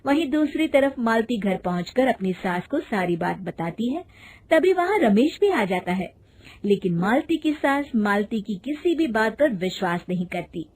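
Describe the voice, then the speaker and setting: A woman's voice: fairly high pitch, sing-song, normal speed, darkish tone, a little hiss or hum. A woman reading a scripted moral story as narrator.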